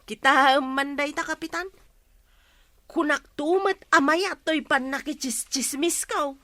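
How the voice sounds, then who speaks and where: A high, bright-voiced village busybody chatters eagerly, full of exclamations. It is radio comedy, recorded very dry. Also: female voice, takes it slowly, no echo, exaggerated voice. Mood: flattering. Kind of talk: comedy radio drama acting.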